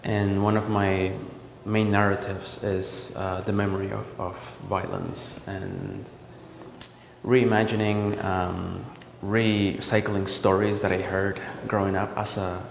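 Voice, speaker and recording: Low gravelly man in an echoey gallery. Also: flat delivery, noisy background. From El Salvador. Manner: reflective, halting, with frequent ums and restarts. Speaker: visual artist. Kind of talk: talking about his artwork. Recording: roomy gallery echo with long reverb.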